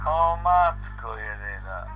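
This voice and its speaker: A man's voice: fairly low pitch, sing-song, slow pace. A man improvising a pretend-play phone dialogue in a homemade audio play, talking in a polite, hesitant, questioning way. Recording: dull lo-fi playback with guitar music over it.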